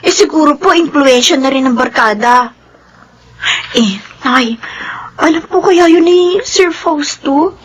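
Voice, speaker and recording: A woman's voice: sits high, lively intonation, a little slow. A woman acting as a caring, respectful young student, a nanny's daughter, in a Filipino radio drama. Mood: worried. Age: young adult. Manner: polite, deferential.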